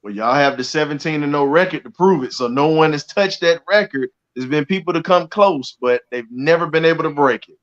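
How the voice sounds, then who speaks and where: A man's voice: fairly high pitch, a little fast, hardly any pauses, fairly bright tone. A middle-aged man hosting a sports talk show, introducing his guest with enthusiasm and plenty of fillers and restarts. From United States. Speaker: show host. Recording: slightly dull video call audio.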